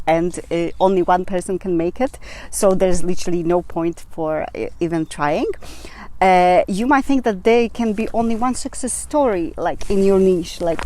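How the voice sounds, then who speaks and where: A woman's voice: on the low side, warm tone. A woman with a Polish accent, for whom English is not her first language, hosting her own channel and giving motivational advice with frequent fillers and restarts. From Poland. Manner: earnest, many fillers and restarts. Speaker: channel host. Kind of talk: motivational talk to camera.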